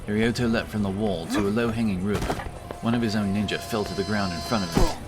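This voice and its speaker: A man's voice: lowish voice, fast talker. A man narrating a fantasy story in a dramatic, scripted audiobook style. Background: action music.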